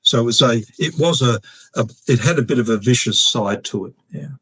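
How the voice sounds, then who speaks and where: A man in his sixties with an Australian accent, a guest telling long stories in a casual interview, halting often with fillers and restarts. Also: medium pitch, fairly bright tone, husky, no echo, poor audio. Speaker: guest, retired air force officer.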